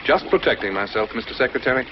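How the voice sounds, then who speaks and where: An actor playing a self-assured, secretive male inventor in an old television drama, speaking politely and deliberately. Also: mid-range voice, expressive, lightly acted. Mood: guarded, confident. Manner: polite, deliberate, slyly confident. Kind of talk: mid-century American television drama acting. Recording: dull, noisy vintage TV soundtrack.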